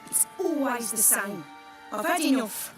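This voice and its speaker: A woman's voice: medium pitch, expressive, normal speed, lightly acted. An actress playing a proud, resentful craftswoman in a fantasy game, speaking bluntly and assertively. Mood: bitter. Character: proud, blunt, resentful woman armourer, determined to prove herself. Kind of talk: dramatic game dialogue. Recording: game dialogue with music behind.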